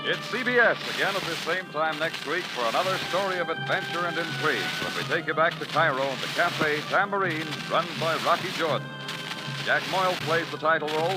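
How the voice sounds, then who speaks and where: A man's voice: fairly high pitch, rough recording. A man with an American accent announcing a scripted vintage radio show in formal, dramatic phrases. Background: very loud theme music.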